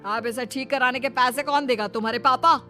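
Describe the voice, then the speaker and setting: A woman's voice: high-pitched, a little fast, bright, forceful tone, faint music behind. A woman voicing a greedy, indignant man in a cartoon moral story, complaining in an aggrieved, exclamatory way. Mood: upset. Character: greedy, whiny, indignant man of a duped family. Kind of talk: animated moral story dialogue.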